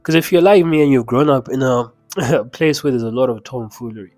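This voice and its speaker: Young Zimbabwean man, mid-pitched, dry close sound, talks to camera like a coach with faint music under him. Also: fairly quick, a little mellow, no echo. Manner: emphatic and conversational, with asides and chuckles. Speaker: mindset coach. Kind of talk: casual motivational talk to camera.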